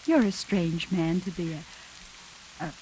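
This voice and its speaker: An actress playing a guarded, brave female hostage in 1940s radio noir style, speaking earnestly with hesitant pauses. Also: lowish voice, lively intonation, darkish tone, low-quality recording. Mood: guarded, subdued. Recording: hissy 1940s radio recording, rain behind.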